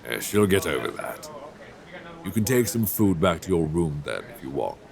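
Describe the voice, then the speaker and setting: An actor playing a genial, jovial ship's captain in a fantasy audio drama, with a hearty, theatrical manner. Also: male voice, sits low, very animated, normal speed, gravelly, a crowd in the background, full character voice. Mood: genial, businesslike.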